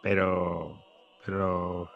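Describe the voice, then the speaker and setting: A man's voice: low voice, little pitch movement, extremely slow, creaky, narrow, tinny audio. A man from Madrid with a Spain Spanish accent, chatting anecdotally as a guest, often hesitating and repeating his words. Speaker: podcast guest, journalist and screenwriter. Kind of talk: casual anecdotal chat.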